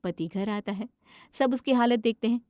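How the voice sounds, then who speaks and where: A woman's voice: a little high, moderate pace, a little mellow, no echo. A woman storyteller giving dramatic narration for a cartoon story.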